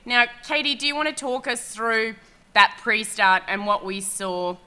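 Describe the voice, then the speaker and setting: A woman's voice: on the high side, animated, unhurried, bright, forceful tone, clear, resonant tone. A woman hosting a chat show about sailing, upbeat and chatty, with an Australian accent. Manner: upbeat, chatty, laughs easily. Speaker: host. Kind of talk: casual chat and race commentary.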